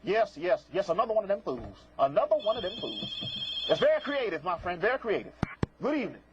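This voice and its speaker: A high-voiced man hosts a live call-in show with swooping, theatrical delivery. He is sassy and scolding, talking in folksy colloquial phrases he likes to repeat. The recording is muffled and noisy. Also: sing-song, fast talker. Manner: folksy colloquial speech with double negatives. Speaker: host of a live phone-in psychic show. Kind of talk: live call-in TV talk with prank callers. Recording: muffled lo-fi broadcast with clear noise, clicks.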